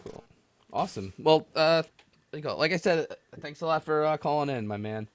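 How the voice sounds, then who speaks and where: A man's voice: fairly high pitch, expressive, a little fast, clean tone. A man hosting a call-in podcast, chatting casually with a jokey, ribbing manner. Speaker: podcast host taking callers. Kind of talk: casual chat.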